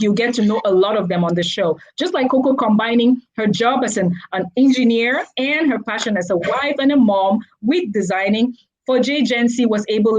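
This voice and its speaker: A woman's voice: medium pitch, poor audio. A woman hosting a live talk show in an enthusiastic, effusive way, addressing the audience as she goes. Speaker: host.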